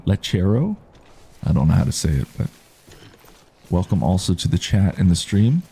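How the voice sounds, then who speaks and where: Relaxed male streamer, low dark baritone, easygoing commentary over light background noise. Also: creaky. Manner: calm, unhurried, reads chat aloud. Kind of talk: relaxed live gameplay commentary.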